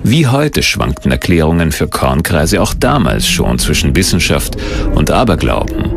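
A man reading scripted documentary narration as the narrator. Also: sits low.

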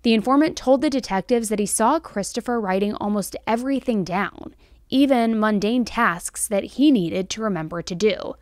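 A woman's voice: fairly high pitch, no echo. An American woman in her thirties hosting true crime commentary, speaking in a conversational, emphatic way into a close podcast microphone. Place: small indoor studio set. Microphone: close podcast microphone on stand.

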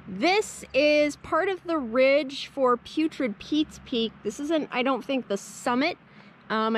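Young woman hiking vlogger with a high voice, chatty and enthusiastic, recorded outdoors on a handheld camera. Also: slight background noise. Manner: enthusiastic, chatty, thinking aloud. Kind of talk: casual on-trail narration. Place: outdoors by a snowy mountain lake. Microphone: handheld camera at arm's length.

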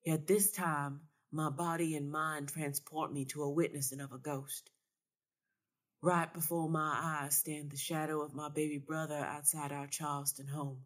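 A woman's voice: sits low, level tone, a little slow. A woman reading first-person literary fiction as a dramatic narration. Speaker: story reader.

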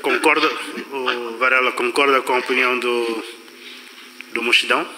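Bright-voiced Mozambican male moderator, echoing room. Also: medium pitch, fairly even intonation, normal speed. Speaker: panel moderator. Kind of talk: moderating a panel, putting questions to guests. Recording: reverberant room with background noise.

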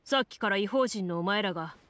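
A woman's voice: medium pitch, a little fast, a bit bright, dry recording, close to an everyday voice. A woman voicing a suspicious male guard captain in a tabletop tale within a video game, commanding and curt.

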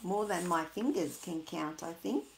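A woman's voice: on the low side, expressive, unhurried, vocal fry. A woman in her sixties reading and chatting to young children in a sing-song, questioning way. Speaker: storyteller for children. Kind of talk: read-aloud and chat addressed to young children. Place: small indoor room at home. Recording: roomy, echoey home webcam sound.

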